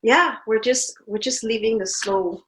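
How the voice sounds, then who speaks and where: A woman's voice: a little high, unhurried. A woman from Montreal whose first language is French, speaking English with a French-Canadian accent as a guest on an interview, telling stories in a chatty way. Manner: chatty, warm storytelling with fillers. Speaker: guest artist. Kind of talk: casual interview chat.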